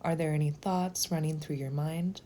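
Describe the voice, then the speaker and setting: A woman leading a guided meditation in a slow, gentle, soothing manner, with phrases sometimes softening toward a whisper. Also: deep voice, steady tone, a little hiss or hum.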